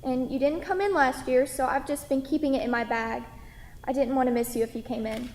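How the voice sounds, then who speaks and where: A woman's voice: high-pitched, steady tone, brisk, darkish tone. A woman playing a kind, hesitant restaurant waitress in a staged play reading, recorded in an echoey hall. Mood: hesitant, tender. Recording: echoey hall with long reverb.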